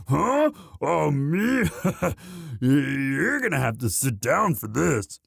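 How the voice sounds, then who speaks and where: A man playing a young orc, a gentle himbo sweetheart who talks in a halting, stammering, bashful and earnest way in a romantic roleplay audio. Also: fairly high pitch, very animated, vocal fry, slightly rough, no room sound, clean studio sound, heavily acted. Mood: bashful, fond, then excited, sheepish.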